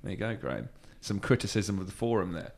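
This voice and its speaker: A man's voice: low voice, expressive, fast, gravelly, very noisy. A man chatting casually as a podcast host. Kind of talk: casual chat.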